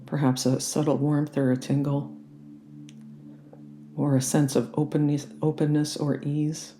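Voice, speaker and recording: A meditation guide leading a guided relaxation in a gentle, soothing and unhurried manner. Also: mid-range voice, level tone, a little hiss or hum. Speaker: yoga nidra meditation guide.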